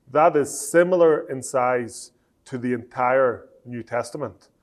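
A man in his thirties from Northern Ireland with a Northern Irish accent, whose first language is Italian, lecturing preachers at a measured pace with frequent "uh" fillers. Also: medium pitch. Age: 30s. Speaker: Bible college lecturer.

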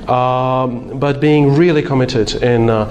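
A man's voice: mid-range voice, fairly even intonation, slow pace, a little mellow, dull audio, slight background noise. A man from Slovakia, giving a conference talk with frequent hesitations and light self-deprecating humor. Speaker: conference speaker.

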